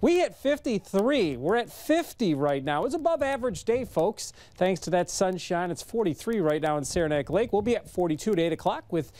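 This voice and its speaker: A man's voice: high voice, sing-song, brisk, few pauses, a little mellow, no echo. A man with an American accent working as a TV meteorologist, talking through a forecast. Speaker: television meteorologist. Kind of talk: ad-libbed weather forecast commentary.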